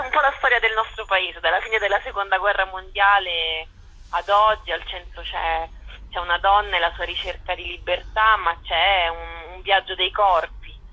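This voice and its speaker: A woman's voice: on the low side, bright, forceful tone, slightly rough, rough recording. A woman, a theatre maker, answering interview questions over the telephone in a hesitant way, searching for words and repeating phrases. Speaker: guest, theatre author and actress.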